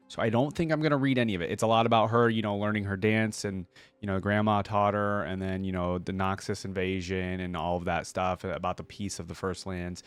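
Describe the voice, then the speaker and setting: Guy with a lowish voice doing casual reaction commentary, enthusiastic and chatty, really dry recording no room sound. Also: clear, resonant tone, no room sound. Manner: informal, enthusiastic, thinks aloud. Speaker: host of game reaction videos.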